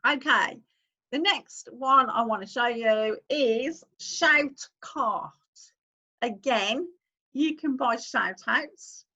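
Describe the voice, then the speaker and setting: A woman's voice: a little high, slow, pushed and bright, highs cut off. A woman, hosting a casual screen-share tutorial, chatting as she clicks through steps and reads on-screen text aloud. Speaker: online-income YouTuber host.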